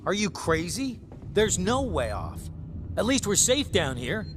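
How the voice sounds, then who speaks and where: An actor playing a nervous, aggressive armed survivor in a sci-fi video game. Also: male voice, high-pitched, sing-song, measured pace, background noise. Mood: agitated.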